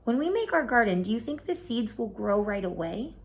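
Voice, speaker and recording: A woman voicing a patient, encouraging second-grade teacher with a gentle, instructive manner in a storybook. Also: a little high, big pitch swings, brisk, vocal fry. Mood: gently instructive.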